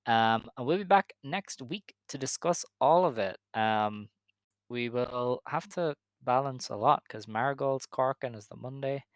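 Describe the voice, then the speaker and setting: A man's voice: mid-range voice, measured pace, dry recording. Chatty man hosting a podcast, dry sound. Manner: chatty, hedging with "I think" and "um". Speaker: podcast co-host. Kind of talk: casual wrestling podcast chat.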